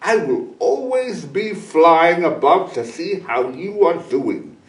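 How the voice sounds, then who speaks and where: A man's voice: fairly high pitch, animated, takes it slowly, pitched above the performer's own voice. A man voicing a proud, kindly eagle in a children's story, patient and encouraging as he teaches. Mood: gently firm. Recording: roomy echo, distant microphone.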